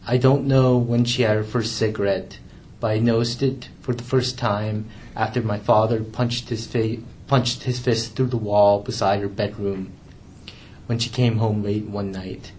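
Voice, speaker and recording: A young man reading aloud from his own memoir, in a measured, restrained way with the odd stumble. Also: on the low side, level tone, a little mellow, a little hiss or hum. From Canada.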